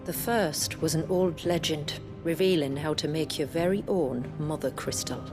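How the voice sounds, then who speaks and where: Low-voiced solemn woman storyteller, an actress's role. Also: lightly acted. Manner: measured, formal, archaic storyteller phrasing. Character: solemn woman elder recounting her people's tragic history. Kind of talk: dramatic video game storytelling. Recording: background music under the voice.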